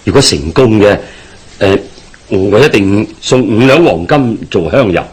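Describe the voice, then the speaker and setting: A nervy haunted businessman in a cantonese radio play, hong kong accent, pitch swinging about, pleading, bit raspy. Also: a little slow. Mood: deferential, pleading. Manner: hesitant, pleading, animated. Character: anxious, deferential businessman haunted by a ghost. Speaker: radio drama actor. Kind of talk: Cantonese radio drama acting.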